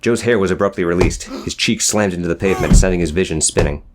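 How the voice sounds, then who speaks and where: A man's voice: low-pitched, fast talker, very noisy. A man narrating a scripted, dramatic story as an audiobook reader.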